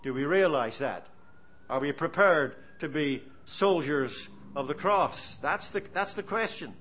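A man's voice: a little high, measured pace. A man in his seventies with an Irish accent, preaching a sermon in an earnest, storytelling way. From Ireland. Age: 70s. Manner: animated, storytelling, earnest exhortation.

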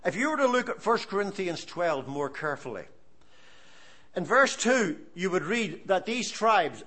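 A man in his seventies with an Irish accent, preaching a sermon in an earnest, storytelling way. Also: high-pitched. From Ireland. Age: 70s. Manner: animated, storytelling, earnest exhortation.

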